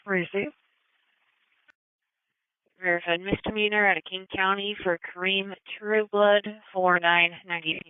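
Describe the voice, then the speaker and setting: A woman's voice: medium pitch, little pitch movement, unhurried, long pauses, clean tone, no echo, phone-quality sound. A woman working as a police dispatcher, speaking in clipped, procedural radio calls over a scanner feed. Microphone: two-way radio dispatch mic. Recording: thin band-limited police scanner radio.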